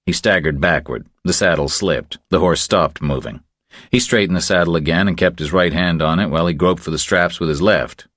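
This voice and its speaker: A man's voice: low-pitched, brisk, fairly bright tone, no room sound, studio quality. A man reading a thriller audiobook as a scripted narration.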